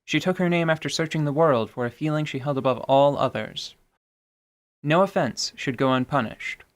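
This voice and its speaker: A man giving wry, measured scripted narration of a fantasy tabletop story. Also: on the high side, noisy background. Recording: music underneath.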